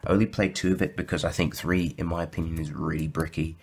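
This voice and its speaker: Low-pitched male host, a bit croaky, casual deck walkthrough, filler-heavy and self-correcting. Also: level tone, fast talker. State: slightly croaky from sore throat. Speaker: YouTube deck profile host.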